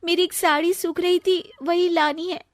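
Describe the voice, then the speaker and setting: Woman voicing a high, whiny young sister-in-law. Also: little pitch movement, normal speed, no room sound. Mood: fearful pleading. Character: timid young sister-in-law, aggrieved and playfully scheming. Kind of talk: Hindi comic family story acting.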